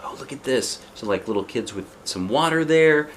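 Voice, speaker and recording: A man's voice: mid-range voice, animated, moderate pace, a bit bright, slight background noise. A man reading a children's picture book aloud expressively, with chatty asides and questions to the young listener. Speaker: storybook reader.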